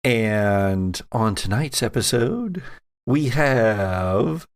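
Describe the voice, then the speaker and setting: A man hosting and narrating an actual play audio drama as game master, with theatrical, drawn-out emphasis. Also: lowish voice, expressive, no echo, studio quality. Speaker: podcast host and game master.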